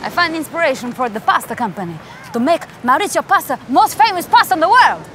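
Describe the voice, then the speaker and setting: A woman's voice: high-pitched, sing-song, quick pace, over loud music. A woman playing a pushy Italian male pasta company boss in broken English with a put-on Italian accent. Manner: broken English, bossy and blunt. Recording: noisy background.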